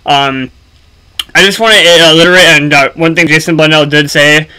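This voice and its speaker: A man giving casual, unscripted gaming commentary for a video, speaking haltingly with frequent ums and pauses. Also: on the high side, steady tone, sharp tone, smooth.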